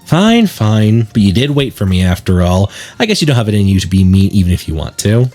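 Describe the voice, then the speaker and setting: A man's voice: lowish voice, very animated, brisk, dark tone, faint music behind. A man voicing a carefree, sweetly nagging teenage schoolgirl from a visual novel, bubbly and pleading. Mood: pouting. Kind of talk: visual novel character read-aloud.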